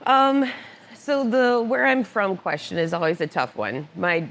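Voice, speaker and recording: A woman's voice: mid-range voice, unhurried. A woman guest on a casual talk show, telling personal stories with frequent laughter. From born in Italy, raised moving around. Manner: laughs often, animated anecdotes. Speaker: podcast guest, motorcycle finance director. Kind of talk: casual storytelling interview. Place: cigar club lounge.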